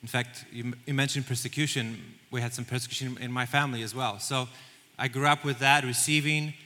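A man speaks earnestly, walking through numbers and stories with small restarts. His voice is strikingly bright and cutting. It rings out with the long reverb of a large church hall. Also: mid-range voice, fairly even intonation, fairly quick. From Poland. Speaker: missions leader. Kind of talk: onstage interview in church.

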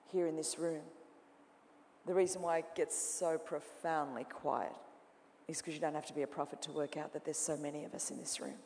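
A woman preaching to a congregation, her voice ringing through a large auditorium with a long reverb. She moves from loud, lively imitation to quiet, intense confession. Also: deep voice, slight background noise. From Australia. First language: Greek. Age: 40s. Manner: dramatic, swings from loud mimicking to hushed intensity. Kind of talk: sermon.

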